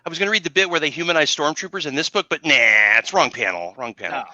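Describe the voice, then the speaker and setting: A man with a fairly high, very bright and sharp voice talks casually on a panel. He sounds playful and a little theatrical, in a dry recording. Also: very animated, very fast, dry recording, highs cut off. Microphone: video call.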